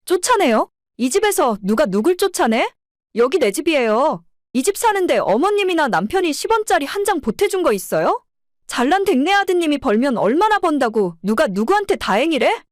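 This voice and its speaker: A woman's voice: high voice, big pitch swings, normal speed, dry recording, clean studio sound, pitched above the performer's own voice. A woman voicing a furious, defiant young wife, indignant and sarcastic, in a dramatized story. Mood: indignant fury.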